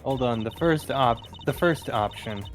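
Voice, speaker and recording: A man's voice: mid-range voice, unhurried. A man talking casually as a co-player on a group game stream. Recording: faint music behind the voice.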